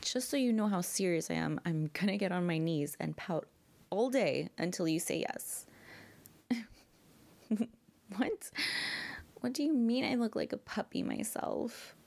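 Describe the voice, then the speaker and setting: A woman's voice: fairly low pitch, lively intonation, unhurried, gravelly, pauses often, darkish tone. A young woman playing a bratty, pouting girlfriend in an ASMR audio roleplay, pleading and often dropping to whispers. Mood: pleading, pouty. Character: bratty pouting girlfriend begging her partner.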